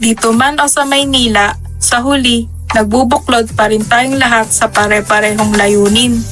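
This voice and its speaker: A woman's voice: a little high, fairly even intonation, normal speed, a bit bright, close to an everyday voice. A woman playing a supportive, idealistic daughter in a radio drama. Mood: earnest. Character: supportive, idealistic daughter pledging to protect nature. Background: music.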